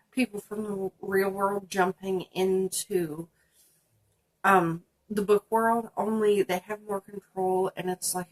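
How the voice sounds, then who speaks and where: A woman's voice: medium pitch, low-quality recording. A young woman, stuffy from a sinus infection, chatting casually about books on her channel, with lots of ums. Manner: chatty, many ums, reading prompts aloud. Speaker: book vlogger. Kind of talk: casual book tag video.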